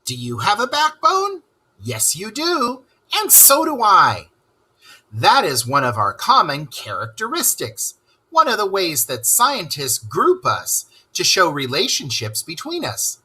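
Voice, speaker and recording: A man's voice: sits high, wildly swooping pitch, measured pace, bright, forceful tone, husky, exaggerated voice. A man doing a chirpy cartoon rat expert. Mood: enthusiastic. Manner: enthusiastic, asks the listener questions. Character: cheerful male rat animal expert and world traveler. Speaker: read-aloud teacher. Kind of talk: children's lesson read-aloud.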